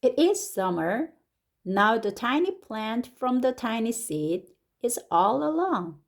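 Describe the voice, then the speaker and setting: This is a woman with a Korean accent reading a children's story in English. She goes at a very unhurried pace and stretches out each word. Her slightly high voice swoops up eagerly whenever something exciting happens. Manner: slow and deliberate, stretching words, with lively rises on exclamations. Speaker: English picture-book reader and teacher. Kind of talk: slow, expressive storybook read-aloud.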